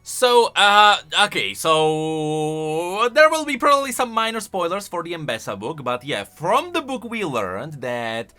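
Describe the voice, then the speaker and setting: A man's voice: fairly high pitch, very animated, unhurried, sharp tone, faint music behind. A man giving casual video commentary on game lore as a YouTuber, rambling along and stretching words for emphasis.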